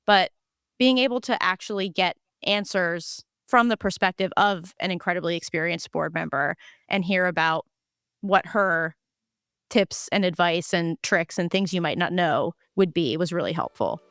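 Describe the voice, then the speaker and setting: A woman's voice: lowish voice, moderate pace, a bit bright, smooth, dry recording, studio quality. A woman hosting a podcast interview, an enthusiastic researcher who introduces her guest and asks questions.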